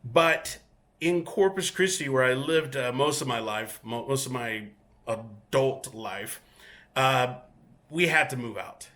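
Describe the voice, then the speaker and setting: Bright-voiced man. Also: mid-range voice. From Mexico. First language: Spanish. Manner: easygoing and chatty, with many filler pauses. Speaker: featured guest collector. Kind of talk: casual self-introduction and collection tour. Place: his home collection room.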